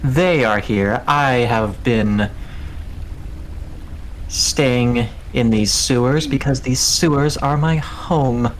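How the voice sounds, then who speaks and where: A man acts out a courtly ratman devotee of plague in a tabletop fantasy game. He is self-assured and formal, choosing his words slowly at a middling pitch. There is light background noise on the recording. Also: natural voice, poor audio. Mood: businesslike, devout. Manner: formal, measured, deliberate phrasing.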